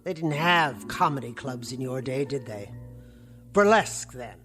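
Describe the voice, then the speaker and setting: A woman's voice: extremely low pitch, animated, a little slow, a bit bright, faint music behind, full character voice. An actress playing a weary, resentful middle-aged niece who answers her uncle with clipped, sarcastic retorts. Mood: tart, weary. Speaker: put-upon niece and housekeeper. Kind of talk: 1960s TV drama acting.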